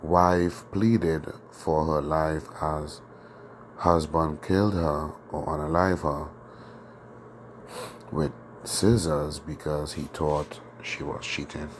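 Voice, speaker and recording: Very deep, flat-voiced man with a sore throat. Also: takes it slowly, slight background noise. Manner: conversational, many fillers and tag questions. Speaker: teacher of a religious group. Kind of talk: informal scripture lesson.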